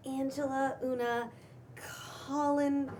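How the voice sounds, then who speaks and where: A woman playing an anxious young newcomer who is eager to fit in, reading haltingly at a table read. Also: high voice, little pitch movement, a little mellow, slight background noise. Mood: nervous, eager. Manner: hesitant, halting. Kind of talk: table read of a pilot script.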